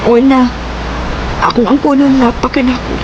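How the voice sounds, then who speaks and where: High-voiced heartbroken young Cebuana played by an actress. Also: female voice, fairly even intonation, normal speed, lo-fi sound, background noise, close to an everyday voice. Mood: yielding, tender. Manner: emotional, plaintive. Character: Jen, heartbroken young woman in love, wounded and yielding. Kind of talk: Cebuano radio drama acting.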